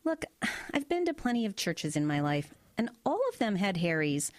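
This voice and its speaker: A woman's voice: mid-range voice, sing-song, moderate pace, dry recording, close to an everyday voice. An actress playing a wry, logical woman doctor in an audio drama, teasing and skeptical. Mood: skeptical, reassuring.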